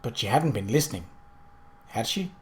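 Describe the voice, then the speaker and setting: A man's voice: medium pitch, big pitch swings, moderate pace, fairly bright tone, a little hiss or hum. Man giving a dramatic audiobook reading. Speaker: audiobook reader. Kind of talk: scripted narration of a novel.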